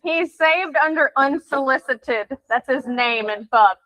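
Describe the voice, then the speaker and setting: A chatty woman with a fairly high voice, talking playfully with coworkers. She speaks in a loose, casual way and often talks over others. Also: unhurried, a crowd in the background, low-quality recording. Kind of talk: casual chat.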